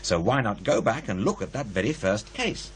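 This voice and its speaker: An actor playing a sceptical, determined programme presenter, brisk and argumentative, in radio drama dialogue. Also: male voice, on the low side, sing-song, a little hiss or hum. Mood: insistent.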